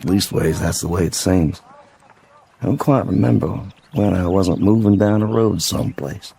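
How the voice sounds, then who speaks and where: A gravelly, deep-voiced weary Southern soldier. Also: male voice, mellow, exaggerated voice. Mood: resigned, wistful. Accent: American South. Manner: folksy, slow to complain, plain-spoken. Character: weary, courteous wounded Confederate sergeant walking home from war. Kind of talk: radio drama acting.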